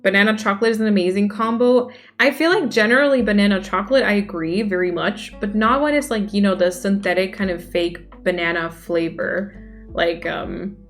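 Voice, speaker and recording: Young woman streaming, chatty, answering chat, mid-pitched, quiet music behind. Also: moderate pace. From Denmark. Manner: chatty, reacts aloud to chat. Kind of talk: casual chat reading viewer messages. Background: faint music.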